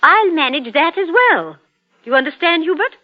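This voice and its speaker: An actress playing a bossy, scolding middle-aged wife in a curt, nagging manner, in old-time radio drama style. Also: female voice, extremely high pitch, sing-song, normal speed. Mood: commanding. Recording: dull vintage radio drama recording.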